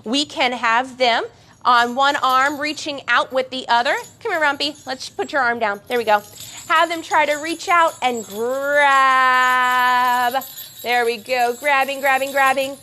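Brief: young woman, baby gym teacher, high bright voice, sing-song and coaxing, bells jingling behind. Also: fairly even intonation, unhurried. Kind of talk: instructional class for parents and babies.

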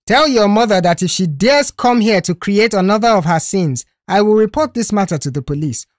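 A woman's voice: medium pitch, lively intonation, brisk, no room sound, clean studio sound, voice pushed up, close to an everyday voice. A woman playing a stern, fed-up male boss and uncle in an audio story, scolding with authority. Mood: angry, dismissive.

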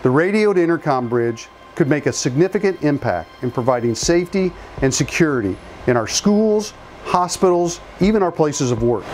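An American man in his fifties speaks as a company spokesman, with a voice a little higher than average. His delivery is scripted, measured and earnest, picked up on a lapel mic with music behind him. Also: a little mellow. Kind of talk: scripted corporate presentation to camera. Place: small indoor equipment room. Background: background music.

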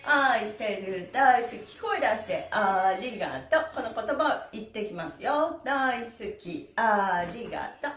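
Casting: middle-aged woman exercise instructor, bright-spirited and very lively in intonation, chanting counts, picked up from a distance in a roomy studio. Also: a little high. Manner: cheerful, animated, calling out drawn-out chants. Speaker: stretching instructor and pantomime teacher. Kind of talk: livestreamed exercise class with rhythmic chanted counts. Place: small indoor studio with bare wall. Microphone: camera a few metres away. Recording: roomy, distant microphone, faint music.

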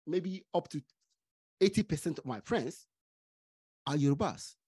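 A middle-aged man from Nigeria, hosting a podcast from a home study with a studio mic, speaking deliberately with stuttering restarts. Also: a little high, animated, darkish tone, breathy edge. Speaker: podcast host. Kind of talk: casual interview chat. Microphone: studio mic with headphones.

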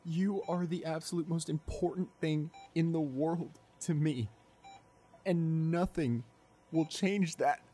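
Slow-talking, remorseful young boyfriend acted by a man. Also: fairly high pitch, slightly rough. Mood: remorseful, pleading. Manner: halting, soft-spoken, emotional delivery with pauses. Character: remorseful, tender young boyfriend apologizing at a bedside. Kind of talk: ASMR audio roleplay. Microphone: close studio condenser microphone.

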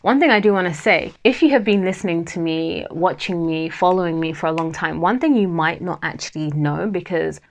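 Woman host, solo podcast, relaxed free-flow talk, lower voice, slight stammer with pauses. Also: gravelly. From Nigerian family, Yoruba heritage. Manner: chatty, laughs often, pauses from a slight stammer. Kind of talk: unscripted solo podcast chat.

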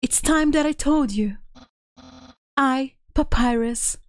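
A woman's voice: high voice, slow pace. A woman voicing a vain, earnest male skeleton from a video game in a pompous, melodramatic manner. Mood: dramatic, earnest. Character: vain, earnest, theatrical male skeleton, bombastically self-confident. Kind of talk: dubbing video game dialogue on a livestream.

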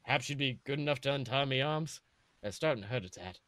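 A man's voice: medium pitch, lively intonation, fast talker, a bit bright, no echo, close to an everyday voice. Man putting on a roguish pirate captain. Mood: wary, polite. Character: roguish, muttering male pirate captain, wary and flippant. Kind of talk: storybook character voice.

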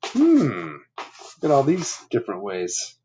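Animated man reading to children. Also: medium pitch, slow, slight background noise. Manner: simple, instructive, conversational. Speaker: storyteller reading a picture book to children. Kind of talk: read-aloud with explanatory asides. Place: small room at home.

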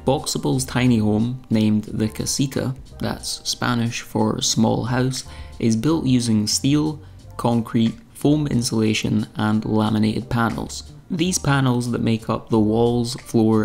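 A man's voice: mid-range voice, creaky, darkish tone. A young man narrating a scripted video tour, with dry, wry humor. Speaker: YouTube channel host. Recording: voice-over with faint background music.

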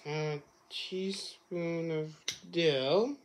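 A woman talks slowly through a home cooking demo, often restarting and echoing what she has just been told. Her voice sits at a middling pitch, with clear background hiss and kitchen clatter from the camera mic in a home kitchen. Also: fairly even intonation. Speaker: cooking show host. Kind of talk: casual cooking demo, repeating instructions.

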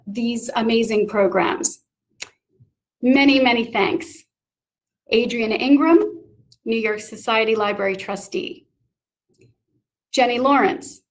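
A middle-aged woman hosting an online event, giving prepared thanks and announcements over a video call. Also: on the high side. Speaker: event host. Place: small book-lined room indoors.

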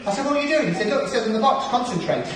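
A man's voice: extremely high pitch, very animated, rapid-fire, a crowd in the background. A man playing a saucy, bossy pantomime dame, a batty older woman, joking and teasing in theatrical patter. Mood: gossipy, teasing. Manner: brassy, teasing, joking to the audience. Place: large theatre stage, indoors. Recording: echoey noisy theatre hall, far from mic.

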